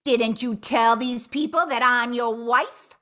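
A woman's voice: a little high, unhurried. A woman playing a brassy, emphatic dame who poses as a wronged wife in an amateur radio play. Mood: confrontational. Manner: forceful, emphatic. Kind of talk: amateur old-time radio play acting. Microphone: computer on video call.

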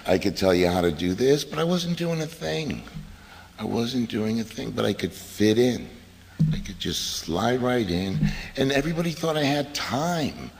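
A man's voice: mid-range voice, expressive, moderate pace, gravelly. A middle-aged man from the US East Coast, telling his recovery story to a meeting in a blunt, wisecracking way. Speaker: AA meeting speaker. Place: meeting hall. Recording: hall reverb from a PA.

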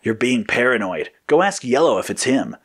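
A man playing a calm, evasive agent in an audio story, speaking casually and knowingly. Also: medium pitch, normal speed, breathy edge, voice pushed up. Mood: nonchalant. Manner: casual, nonchalant. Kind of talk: acted audio story dialogue.

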